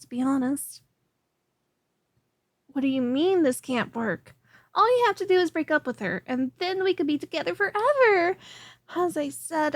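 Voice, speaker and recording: A woman's voice: sits high, no room sound, clean studio sound. A woman voicing a young alchemist who chatters as she works and turns obsessive, in a scripted audio roleplay. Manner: chatty, rambling, then clingy and obsessive. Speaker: ASMR roleplay performer.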